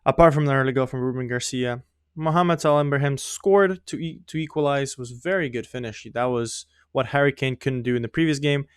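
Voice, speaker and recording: A man's voice: medium pitch, a little fast, clear, resonant tone, no room sound, studio quality. A young man, not a native English speaker, giving rambling, self-mocking commentary on his own game, often laughing. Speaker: gaming YouTuber. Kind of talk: casual gameplay commentary.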